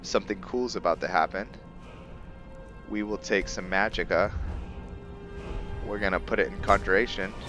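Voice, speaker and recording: A man giving casual game commentary with roleplay lore, thinking aloud in a halting way. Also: deep voice, fairly even intonation, over music. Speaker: gamer hosting a roleplay let's play.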